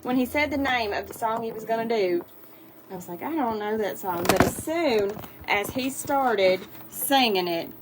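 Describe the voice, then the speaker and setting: A woman's voice: on the high side, expressive, moderate pace, heavy background noise. A woman hosting a live radio show, friendly and rambling with many fillers, in a radio studio. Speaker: radio host.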